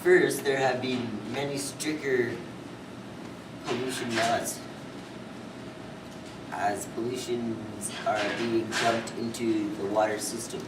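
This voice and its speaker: Very noisy echoey recording, man acting as puppet show guest, mid-pitched, halting recited delivery. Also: fairly even intonation, far from the mic, natural voice. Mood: halting, informative. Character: male puppet interviewee explaining environmental problems. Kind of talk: scripted puppet show interview.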